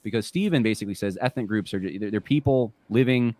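Low-voiced man explaining steadily. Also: fast talker, a little mellow, dry recording. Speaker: commentator in a played clip. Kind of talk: measured spoken commentary.

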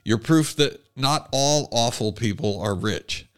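A man hosting a conversational talk show, phrasing things deliberately with repeated starts and reading out comments. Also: mid-range voice, clear, resonant tone. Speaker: podcast host.

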